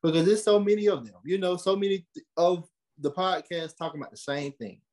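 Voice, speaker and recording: A young American man giving conversational interview answers, earnest and animated, repeating phrases for emphasis. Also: sits high, a little fast. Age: young adult. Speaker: podcast host and creative being interviewed.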